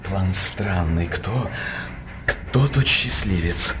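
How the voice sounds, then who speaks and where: An actor playing a sly court jester in a radio stage drama, wry and fawning, with a theatrical delivery. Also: male voice, deep voice, animated, very slow, background noise, exaggerated voice. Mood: sly, teasing.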